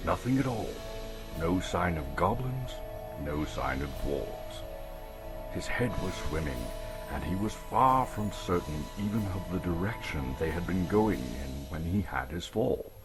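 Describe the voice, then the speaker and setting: A man narrates a story in a deep, slow voice. His delivery is theatrical and suspenseful, rising and falling widely, with emphatic pauses. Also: expressive, music in the background. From Canada. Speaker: actor reading an audiobook.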